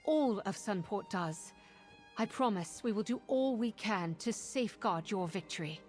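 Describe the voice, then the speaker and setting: An actress playing a gracious, dignified regent in a fantasy game, speaking formally. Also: female voice, medium pitch, lively intonation, measured pace, soft background music, close to an everyday voice. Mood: gracious, grateful. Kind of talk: fantasy video game dialogue.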